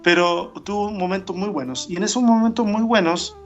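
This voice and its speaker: A man with a fairly high voice, talking as a radio guest, thoughtful and full of hesitations and restarts. His voice comes over a dull, lo-fi remote line. Soft music plays faintly underneath. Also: a little fast. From born in Argentina, raised in Chile. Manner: reflective, many fillers and restarts. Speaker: pastor and interview guest. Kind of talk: casual interview over a remote call.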